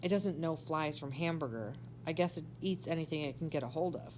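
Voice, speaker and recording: A woman's voice: sits low, fairly quick, darkish tone, a little hiss or hum, lightly acted. Sensible, matter-of-fact mother read by a woman.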